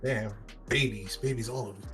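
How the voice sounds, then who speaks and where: A man in his late twenties co-hosting a podcast, bantering and teasing in a relaxed way. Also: mid-range voice, normal speed, faint music behind. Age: 20s. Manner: laid-back, teasing banter. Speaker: podcast co-host. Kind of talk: casual podcast chat.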